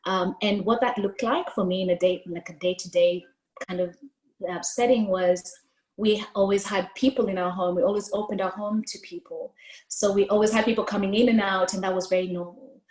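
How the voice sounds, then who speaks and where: A woman from Kenya speaks with a Kenyan accent, her first language Swahili. She tells her life story in a lively, expressive way, talking with her hands. Her voice sits mid-range and comes through a video call with a roomy echo. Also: mid-range voice, a little fast. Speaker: guest speaker. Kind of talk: storytelling interview answer. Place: room at home, indoors.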